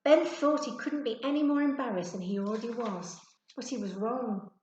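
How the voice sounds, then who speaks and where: A woman's voice: a little high, moderate pace, a little mellow, muffled recording, a little hiss or hum. Woman reading a children's story, echoey room. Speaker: teacher reading a story to a class. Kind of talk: expressive children's storybook narration.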